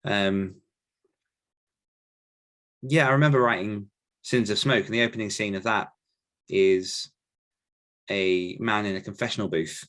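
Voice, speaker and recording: A man speaking casually and reflectively as an author being interviewed, often pausing to search for his words. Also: fairly low pitch, fairly bright tone. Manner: thoughtful, halting, searching for words. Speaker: podcast guest author answering questions.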